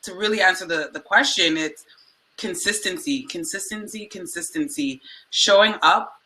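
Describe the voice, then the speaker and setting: A woman with a low, extremely bright and forceful voice speaks as a panelist. She gives advice conversationally, stressing key words by repeating them. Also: moderate pace, rough recording. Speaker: panelist, influencer.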